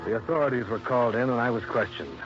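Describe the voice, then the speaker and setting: An actor playing a tough, dry American insurance investigator in hard-boiled old-time radio style. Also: male voice, medium pitch, quick pace, over loud music, close to an everyday voice. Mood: grim, matter-of-fact. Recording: muffled, hissy old radio recording.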